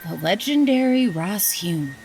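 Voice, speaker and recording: Menacing young woman, slow mocking drawn-out delivery, scifi audio drama, music loud behind. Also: medium pitch, lively intonation. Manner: unhurried, mocking, teasing. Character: cool, taunting young woman survivor with menace. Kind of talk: science fiction audio drama. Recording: prominent music underneath.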